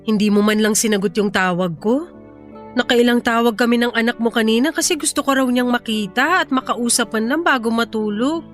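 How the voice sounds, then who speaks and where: A woman's voice: a little high, a little fast, soft background music, close to an everyday voice. A woman acting in a radio drama as a hurt young mother, pleading emotionally and reproachfully with her partner. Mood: weary reproach.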